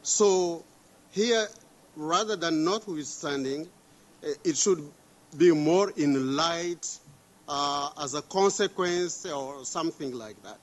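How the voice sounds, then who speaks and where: Halting man, slow delegate. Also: a little high, rough recording. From Mozambique. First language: Portuguese. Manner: halting, many fillers and restarts. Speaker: diplomatic delegate. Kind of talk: formal conference statement.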